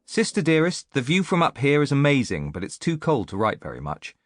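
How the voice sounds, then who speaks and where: A man's voice: mid-range voice, huge pitch swings, a little fast, dry recording, clean studio sound, pitched above the performer's own voice. A man reading an audiobook as a reflective, self-mocking woman in her late thirties, musing and confiding as in a letter. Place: dry recording studio.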